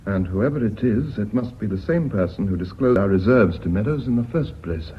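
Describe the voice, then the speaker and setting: Actor as a low-voiced, precise company secretary. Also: male voice, expressive, close to an everyday voice. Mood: cool. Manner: precise, measured, formal. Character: punctilious, dry male company secretary. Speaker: actor in a radio play. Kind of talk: British radio drama acting. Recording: dull radio recording with faint noise.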